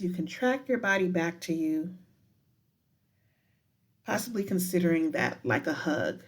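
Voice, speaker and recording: A woman guiding a meditation in a deliberate, unhurried manner with frequent pauses. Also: fairly low pitch. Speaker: professor guiding a breathing meditation. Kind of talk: guided meditation with spoken poetry.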